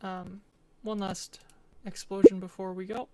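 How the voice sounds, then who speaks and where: A woman's voice: mid-range voice, little pitch movement, a little slow, very noisy. A woman giving an informal, matter-of-fact presentation as a student, explaining and narrating a game demo.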